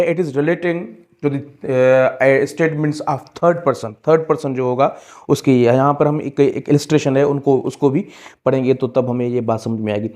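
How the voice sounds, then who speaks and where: Slow-paced man lecturing, fairly high voice. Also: warm tone. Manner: code-switches between Hindi and English; deliberate, repeats phrases while explaining. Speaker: law lecturer. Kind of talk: explanatory lecture with examples, reading statutes aloud.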